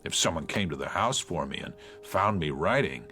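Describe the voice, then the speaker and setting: An actor playing an aging small-town reverend, reflective and self-doubting, speaking pensively with wry hesitations in a literary radio drama. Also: male voice, rumbling low, animated, moderate pace, pushed and bright, soft background music, heavily acted. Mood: wry, melancholy.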